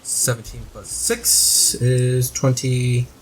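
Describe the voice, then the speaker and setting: A man's voice: medium pitch, flat delivery, slow pace, slight background noise, rough recording. A man talking casually as a player in a tabletop game, working out his moves and rolls. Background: loud music.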